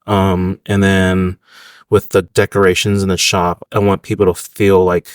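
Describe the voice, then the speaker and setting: Man in his thirties, very low voice, dry close sound, relaxed rambling talk, restaurant owner. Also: measured pace, no room sound. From United States. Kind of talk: casual interview.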